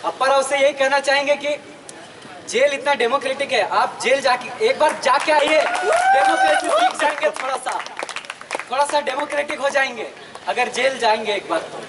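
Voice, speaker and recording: A man in his twenties with an Indian accent gives a political protest speech outdoors, earnest and emphatic, with halting phrasing. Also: extremely high pitch, big pitch swings. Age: 20s. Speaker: student activist speaker. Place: outdoors at night at an open protest gathering. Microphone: handheld microphone, recorded by camera at a distance. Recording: distant outdoor public-address sound, crowd noise. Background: crowd.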